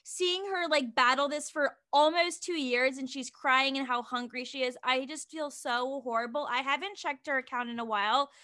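A woman's voice: sits high, fairly even intonation, normal speed, hardly any pauses, pushed and bright. A woman hosting a podcast in casual chat, chatty and giggly, with lots of "like" fillers. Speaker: podcast host.

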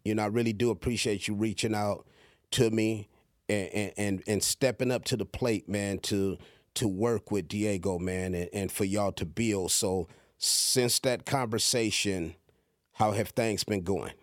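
A man's voice: fairly low pitch, unhurried, no echo, clean studio sound. A man hosting a casual podcast interview, encouraging his guests and often repeating and restarting his words. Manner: warm-hearted, repeats words and restarts a lot. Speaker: podcast host.

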